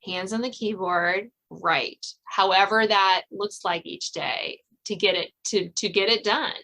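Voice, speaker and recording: A woman's voice: mid-range voice, measured pace, sharp tone, rough recording. Woman hosting a conversational podcast interview. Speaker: podcast host.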